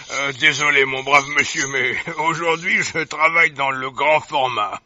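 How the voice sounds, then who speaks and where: A man's voice: medium pitch, slow, pushed and bright, highs cut off, a little hiss or hum. A man voicing a jovial, chuckling street knife-grinder with rustic banter in a French radio drama.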